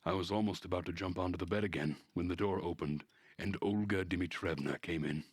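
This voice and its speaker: A man reads visual novel narration aloud in a measured, introspective manner. His voice sits very low and has a husky grain. The sound is close and dry, with no room on it. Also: no room sound. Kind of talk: narration of a story read aloud.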